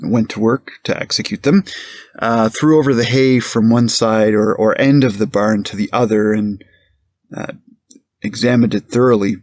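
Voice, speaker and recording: Low-voiced, careful police witness played by a man. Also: steady tone, normal speed, a little mellow, dry recording, close to an everyday voice. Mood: hesitant, precise. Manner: hesitant, precise, formal and deferential. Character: careful, plain-spoken male police captain testifying as a witness. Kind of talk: courtroom transcript reenactment.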